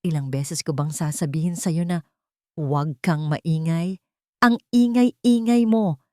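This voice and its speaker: A woman's voice: sits low, a little slow, no room sound, clean studio sound. A woman with a Filipino accent voicing an angry, bullying father in an audiobook drama. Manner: shouting, irritable.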